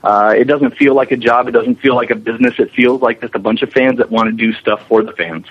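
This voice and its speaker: A man's voice: medium pitch, fairly quick, breathy edge. Rambling man on a dull lo-fi line. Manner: rambling, enthusiastic. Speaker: interview guest, visual effects animator. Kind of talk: casual explanatory interview talk. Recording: dull lo-fi, radio-like remote line.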